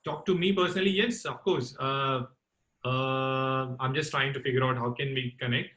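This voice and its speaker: A man hosting an online call-in talk session, speaking in a courteous, formal and halting way. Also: medium pitch, fairly even intonation, fairly bright tone, poor audio. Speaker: host of a campus radio talk session. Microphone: online group call.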